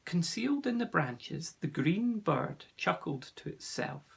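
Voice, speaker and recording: A man's voice: fairly high pitch, sing-song, a little slow, creaky, breathy edge. A man narrating a children's story from a script as an audiobook reader.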